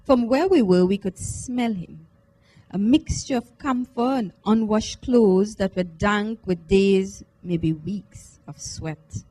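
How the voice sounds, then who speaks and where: A woman giving an expressive first-person literary reading, in a measured, deliberate, dramatic manner. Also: mid-range voice, soft background music, poor audio. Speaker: reader at a book launch. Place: live book launch event at a library.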